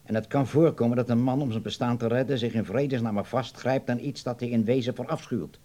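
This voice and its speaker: Radio drama: man as older philosophical landlord; relaxed dark tone, measured, courteous, slightly hesitant, fatherly. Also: medium pitch, lightly acted. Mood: grave, concerned. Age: middle-aged or older. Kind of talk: radio play acting.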